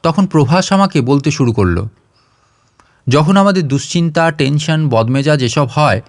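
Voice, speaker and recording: A man's voice: a little high, animated, a little slow, no room sound, clean studio sound. A man reading a story aloud as a dramatic scripted audiobook narration.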